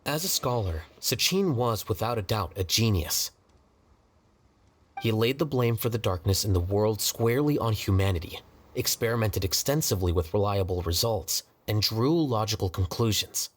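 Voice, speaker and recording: An actor playing an aloof, rational young scholar in a video game, speaking in a measured, formal and detached way. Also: male voice, lowish voice, lively intonation. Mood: detached, lecturing.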